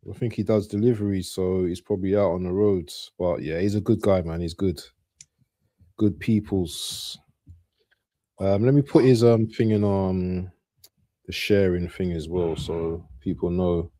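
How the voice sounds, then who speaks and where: Male football stream host, dark relaxed tone, dry recording, informal running commentary. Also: sits low, unhurried, dry recording. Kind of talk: casual live match commentary and chat.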